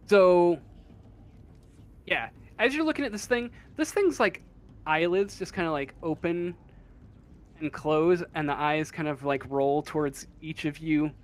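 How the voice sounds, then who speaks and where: A man improvising narration as a tabletop game master, halting as he searches for words. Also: a little high, animated. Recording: faint room noise.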